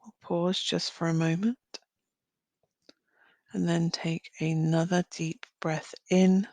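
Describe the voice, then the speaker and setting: A woman leading a guided visualisation as a meditation guide, speaking gently in short phrases with long pauses. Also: lowish voice, level tone, no room sound. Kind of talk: guided visualisation, slow soothing narration.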